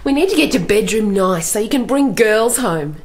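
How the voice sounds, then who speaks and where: A woman's voice: medium pitch, animated, normal speed, a crowd in the background, lightly acted. An actress playing a free-spirited young Australian university student who talks in a breezy, teasing way, with music behind her. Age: young adult. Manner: breezy, chatty. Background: music score.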